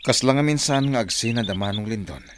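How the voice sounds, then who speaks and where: A man's voice: mid-range voice, animated, fairly quick, a little hiss or hum, lightly acted. A man playing a nosy, teasing companion in a radio drama. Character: nosy, teasing male companion watching lovers. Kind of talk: Ilocano radio drama acting.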